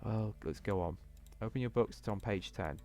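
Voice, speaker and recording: A stern but lenient schoolteacher from a visual novel, read by a man. The voice is low and instructing, with music behind it. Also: normal speed, darkish tone, natural voice. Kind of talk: visual novel character reading.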